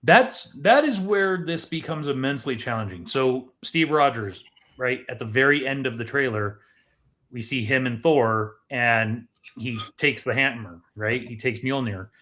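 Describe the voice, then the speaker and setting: A man's voice: mid-range voice, expressive, unhurried, sharp tone. A man co-hosting a movie discussion show, talking casually and explaining his points to his co-host. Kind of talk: casual film theory discussion.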